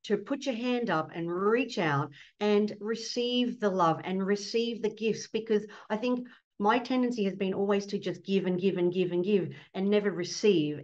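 A middle-aged Australian woman, a fertility doctor, speaking earnestly as a guest on a video call from her home office, often breaking into laughter. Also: medium pitch. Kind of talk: casual interview on a video call. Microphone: laptop webcam mic on a video call. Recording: dull lo-fi video call audio.

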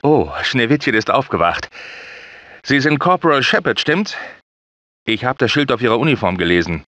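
A man's voice: sing-song, normal speed, pushed and bright, no echo. An actor playing an anxious, well-spoken male scientist in a dubbed video game, polite and earnest. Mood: worried, earnest. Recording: dull low-fidelity game audio.

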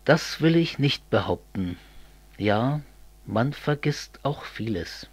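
A man playing an earnest, brooding communist in an amateur radio play, speaking in a measured way. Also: mid-range voice, lively intonation, breathy edge, dry recording. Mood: puzzled, grave.

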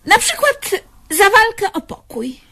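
An actress playing a fussy, devoted elderly pensioner in a comedy radio play, speaking hesitantly and stammering over repeated words. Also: female voice, very high-pitched, very animated, a little slow, creaky, bright, forceful tone, exaggerated voice. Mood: patiently explaining.

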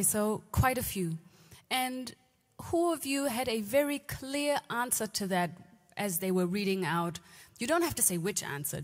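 Woman moderating in a reverberant hall. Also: on the high side. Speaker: panel moderator. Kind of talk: moderating a roundtable, polling the audience. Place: large lecture hall stage. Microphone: handheld mic.

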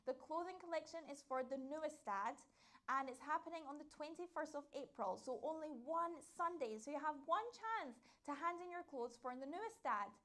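A young woman with a very high voice delivers announcements to camera. She is enthusiastic and emphatic, with a chatty, scripted flow. She is recorded indoors on a lapel mic. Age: young adult. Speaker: church announcements presenter. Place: indoor studio set with dark backdrop.

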